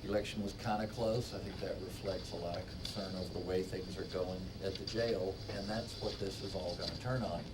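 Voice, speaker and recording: An American man offers informal news commentary in a fairly low voice. He comes across slightly off-mic, with clear noise in the recording. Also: fairly even intonation, a little fast, hardly any pauses. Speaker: political commentator. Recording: noisy, slightly distant studio feed.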